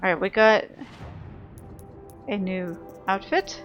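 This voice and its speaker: A woman talks through a video game at a slow, easy pace. Her style is casual and informal, as if thinking aloud for viewers. Faint music plays behind her voice. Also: mid-range voice, lively intonation, dull audio. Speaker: video game player and host of a playthrough.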